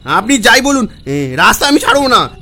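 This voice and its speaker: A man playing a frantic, crazed village man in a horror audio drama, halting, gasping and repeating his warnings. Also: very high-pitched, big pitch swings, pushed and bright, soft background music, exaggerated voice. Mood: urgent warning. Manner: halting, gasping, repeating himself, wild cackles.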